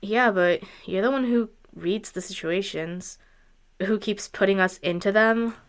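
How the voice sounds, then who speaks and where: A woman's voice: mid-range voice, measured pace, smooth, lightly acted. A woman playing a skeptical, sensible traveling musician in a radio drama, questioning and matter-of-fact.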